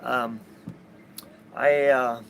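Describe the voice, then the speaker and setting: A man's voice: mid-range voice, flat delivery, gravelly, fairly bright tone. Man, Upper Midwest American accent, rambling casual talk, lots of fillers and restarts, sailboat outdoors, faint noise behind. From Minnesota, USA. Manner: halting, many restarts and fillers, blunt. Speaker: sailing vlogger. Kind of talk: rambling casual vlog chat.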